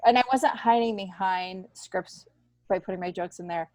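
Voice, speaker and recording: Woman in her 50s, emphatic storyteller, casual interview chat, medium pitch, close dry sound. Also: moderate pace, a little mellow, no room sound. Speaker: podcast guest, comedian and writer.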